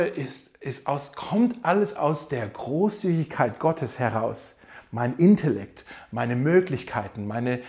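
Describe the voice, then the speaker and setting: A man preaching a sermon, emphatic and direct, slowing down to repeat key phrases. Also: on the high side, darkish tone. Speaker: pastor.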